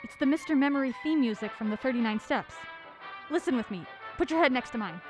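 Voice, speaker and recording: Woman playing a bossy high-voiced amateur sleuth. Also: lively intonation, over music. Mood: alert, intent. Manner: brisk, curt, commanding. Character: bossy, impatient woman amateur sleuth, assistant store manager. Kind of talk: comedic audio drama acting.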